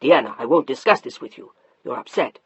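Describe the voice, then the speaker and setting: A narrator voicing a middle-aged husband who speaks low, calm and unyielding, in a slightly muffled dry recording. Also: male voice, fairly quick, slightly rough, no room sound. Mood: steely calm. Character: stern controlling husband, middle-aged, quiet and steely.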